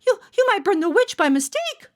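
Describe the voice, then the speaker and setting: A woman's voice: very high-pitched, sing-song, fairly quick, voice pushed up. A woman voicing anxious village children in a storybook, pleading urgently. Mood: worried protest.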